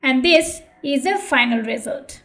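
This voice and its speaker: A woman's voice: high voice, expressive, measured pace, bright, forceful tone. A woman presenting an online tutorial in a polite, earnest way. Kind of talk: tutorial narration and channel appeal.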